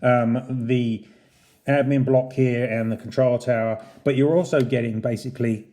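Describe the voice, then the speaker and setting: A man's voice: mid-range voice, fairly even intonation, normal speed, warm tone. A man hosting a casual unboxing review, talking in a conversational, explanatory way. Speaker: channel host, model airport reviewer. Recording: roomy, echoey indoor sound.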